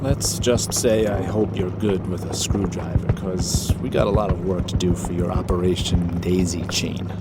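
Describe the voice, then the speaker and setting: An actor playing a hot-headed, skeptical salvage skipper, blunt and sarcastic, in an audio drama. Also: male voice, deep voice, steady tone, moderate pace, hardly any pauses, very noisy, natural voice. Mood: resigned, wry.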